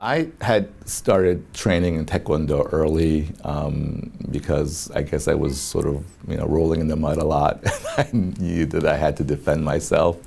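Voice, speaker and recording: A man in his fifties from South Korea, an attorney, telling his life story in a halting, reflective way with many pauses and soft chuckles. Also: very deep voice, gravelly, husky. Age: 50s. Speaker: attorney interviewee.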